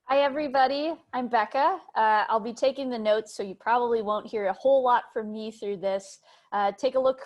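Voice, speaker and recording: A woman's voice: a little high, a little fast. A woman briefly introducing herself as the note-taking assistant, friendly, with a few fillers. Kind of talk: casual self-introduction.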